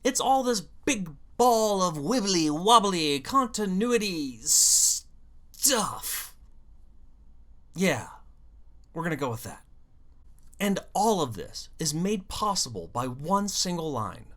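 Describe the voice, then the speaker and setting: Lively, animated video host with expressive swings. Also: medium pitch, slow. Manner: animated, dramatic storytelling with wry asides. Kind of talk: scripted fan commentary on an audio drama series.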